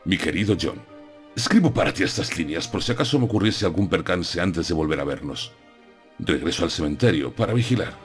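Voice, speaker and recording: A low-pitched old professor played by a man speaks over prominent music. He is grave, courtly and resolute, a vampire hunter in a gothic horror audiobook, choosing his words with solemn deliberation.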